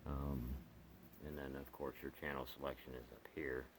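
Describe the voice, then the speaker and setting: A man reviewing gear as a hobbyist in a casual, unscripted, halting and rambling way, with pauses as he explains. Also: extremely low pitch, little pitch movement, background noise.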